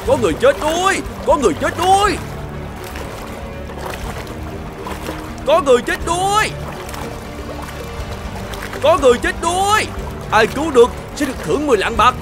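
A man's voice: very high-pitched, expressive, a little fast, long pauses, over music. A man voicing a kind, honest middle-aged travelling merchant in a cartoon folk tale, polite and earnest. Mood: panicked shouting. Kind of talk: animated folk tale dubbing.

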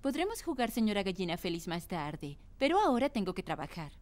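A woman voicing a gentle but firm, busy mother pig in a children's cartoon dub. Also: medium pitch, very animated, brisk, natural voice. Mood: gently firm.

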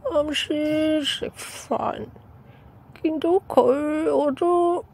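A woman voicing a disapproving old father in an amateur radio drama, sighing and grumbling slowly over a noisy recording. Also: high voice, vocal fry, a little mellow, heavily acted.

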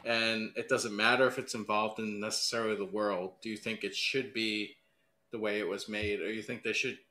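A man's voice: on the low side, flat delivery, normal speed, bright, forceful tone, roomy sound. A man co-hosting a podcast debate, putting questions in loose, casual chat.

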